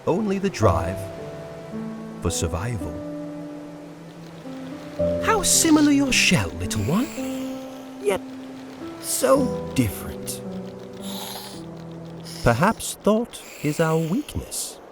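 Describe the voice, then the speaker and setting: A man playing a solemn wandering bug warrior in a video game lore dub, speaking in a grave, poetic, halting way. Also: a little high, huge pitch swings, over music, heavily acted. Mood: wondering.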